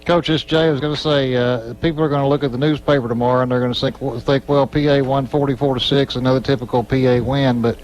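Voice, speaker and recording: A man joins the broadcast as co-announcer and talks quickly in a chatty way. His voice sits at an average pitch. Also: fairly even intonation, darkish tone, poor audio. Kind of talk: live sports radio commentary.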